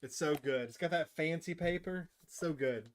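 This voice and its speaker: Higher-pitched man chatting on a gaming livestream. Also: moderate pace. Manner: enthusiastic, chatty with viewers. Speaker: livestream host. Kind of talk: casual gaming livestream chat. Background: game music.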